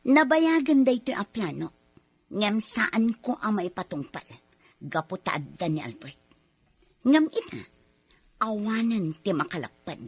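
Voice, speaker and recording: Woman acting raspy, scheming Ilocano villainess. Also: medium pitch, big pitch swings, measured pace, long pauses, full character voice. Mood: bitter, scheming. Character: bitter scheming villainous wife, commanding and vengeful. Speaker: voice actor in radio comedy drama. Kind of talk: Ilocano radio drama acting.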